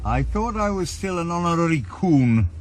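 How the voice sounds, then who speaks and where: A terse, stubborn old ex-detective. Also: male voice, very animated, moderate pace. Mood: weary. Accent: Welsh. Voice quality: terse, low-key, stubborn. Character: dogged retired Welsh ex-detective Morgan, older. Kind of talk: 1980s radio drama acting. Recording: radio drama with traffic noise.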